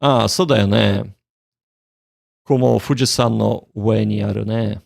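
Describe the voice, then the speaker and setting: A man's voice: lowish voice, normal speed, vocal fry, no echo, studio quality. A man hosting a casual study livestream, thinking aloud in a joking, self-deprecating way. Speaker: livestream host, language learner.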